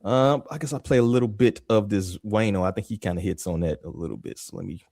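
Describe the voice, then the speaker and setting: A man's voice: fairly low pitch, fast talker, creaky, a little mellow, no room sound, studio quality. A man, hosting a podcast in casual street slang, often checking in with "you feel me.". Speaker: podcast host. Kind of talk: casual chat.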